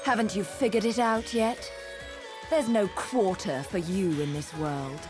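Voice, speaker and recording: A woman's voice: mid-range voice, measured pace, lightly acted. A woman playing a cool, haughty, teasing witch with a British accent in a video game cutscene. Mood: disdainful, mocking. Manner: poised, drily mocking. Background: loud music.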